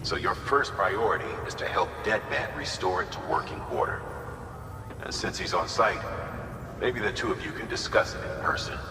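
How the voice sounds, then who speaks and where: An actor playing a stern, folksy male director giving a measured, commanding mission briefing in a video game cutscene. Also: on the low side, lively intonation. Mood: businesslike. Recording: echoey hall, clear background noise.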